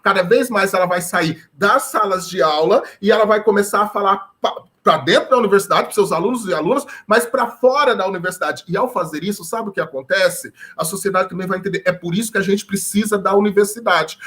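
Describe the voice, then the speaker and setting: A Brazilian man, a history professor, talks as a guest in a high, light voice. He explains in long, earnest stretches and asks himself rhetorical questions as he goes. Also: a bit bright. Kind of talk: explanatory talk.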